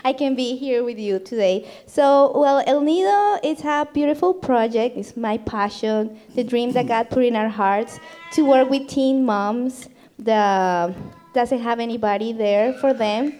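A woman from Colombia with a Colombian Spanish accent, Spanish her first language, gently addressing a church congregation with frequent laughs. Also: on the high side, measured pace, faint music behind. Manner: laughs often, shy and gentle. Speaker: pastor's wife, ministry leader. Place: church sanctuary.

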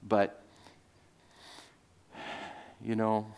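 A man's voice: fairly low pitch, completely flat delivery, very slow, gravelly, a little mellow, clear, resonant tone. Male preacher, Greek first language; loose, rambling sermon delivery full of restarts and asides. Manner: rambling, many restarts and fillers, chuckles. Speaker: pastor.

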